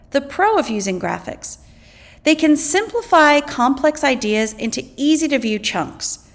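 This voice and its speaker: Woman teacher w a slightly high voice reading out school lesson text, careful n deliberate. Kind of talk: scripted educational read-aloud.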